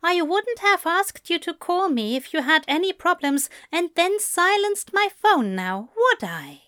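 A woman putting on a gentle, kindly, fussy man. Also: very high-pitched, big pitch swings, unhurried, no room sound, clean studio sound, pitched above the performer's own voice, exaggerated voice. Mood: tender. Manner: soft, tender, reassuring. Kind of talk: audiobook narration of fan fiction.

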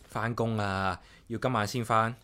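An actor playing a solitary, caring young office worker with a Hong Kong accent, narrating and chatting casually in a radio drama. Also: male voice, fairly low pitch, fairly even intonation, unhurried, pushed and bright, a little hiss or hum. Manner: casual, talks playfully to himself and pet. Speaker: lead character and narrator. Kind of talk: radio drama dialogue and inner-monologue narration.

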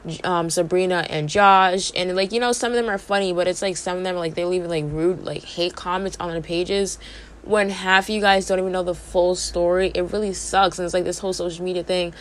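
A woman's voice: lowish voice, fairly even intonation, brisk. A young woman hosting a podcast, chatting casually through entertainment gossip while she is losing her voice, with lots of "like" and "you know". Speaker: podcast host.

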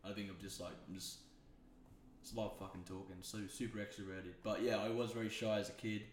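A man's voice: fairly low pitch, fairly even intonation, brisk, slight background noise. A young man hosting a podcast, telling rambling stories and swearing freely. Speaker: podcast host. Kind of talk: casual chat. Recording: echoey room.

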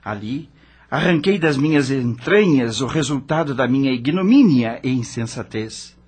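A man's voice: mid-range voice, lively intonation, normal speed, a little mellow, husky. An actor playing an anguished dead rock star who narrates his own suffering dramatically in a radio drama. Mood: remorseful.

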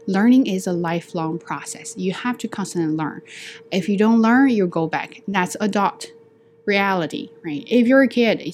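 A woman's voice: fairly low pitch, a little hiss or hum. Chatty woman with a Chinese accent. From China. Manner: chatty, animated, acts out dialogue. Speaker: podcast host. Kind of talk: casual solo storytelling.

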